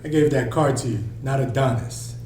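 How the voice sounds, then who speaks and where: An irritable, suspicious father played by a man in a drama read-through. His tone is mellow and dark, at middle pitch, heard from off in a roomy space with a faint hum. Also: moderate pace, recorded from a distance, natural voice. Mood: irritated. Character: always-angry father, gruff and suspicious. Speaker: actor at a table read. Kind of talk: scripted dialogue read aloud.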